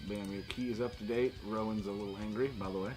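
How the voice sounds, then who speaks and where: A man's voice: on the low side, steady tone, quick pace. A man acting as game master, casually narrating a tabletop role-playing game in an informal way, with some swearing. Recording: music playing behind the voice. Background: music.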